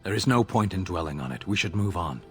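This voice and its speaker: A stern giant of a warrior, with a rumbling, extremely deep voice. He speaks in short, solemn, measured statements, as game dialogue over faint music. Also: lively intonation. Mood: stoic, grave. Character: stern giant warrior, a stoic adult male soldier. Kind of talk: video game character acting.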